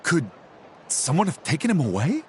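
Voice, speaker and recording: A man's voice: fairly high pitch, wildly swooping pitch, normal speed, a little hiss or hum. A man playing an anxious, bewildered young patrol knight in a video game, agitated as he speaks. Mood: panicked, bewildered.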